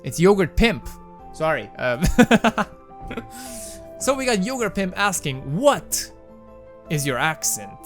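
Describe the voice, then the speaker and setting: A man's voice: high-pitched, very animated, slow pace, long pauses, a bit bright, soft background music. A young man with a Romanian accent giving casual, wry, self-mocking commentary as host of a gaming channel. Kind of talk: casual game mod commentary voice-over.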